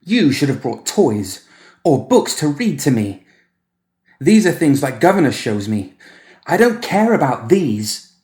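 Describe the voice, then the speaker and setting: A man's voice: medium pitch, very animated, a little slow, darkish tone, reverberant, voice pushed up. A man voicing a strange, bossy little boy in a story, with a piping voice and precise, childlike, petulant speech.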